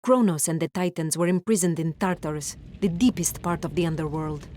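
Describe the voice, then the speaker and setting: A woman's voice: on the low side, a little fast, a little mellow, a little hiss or hum, lightly acted. A woman playing a proud, resolute priestess in a video game cutscene, grave and commanding. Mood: solemn, storytelling.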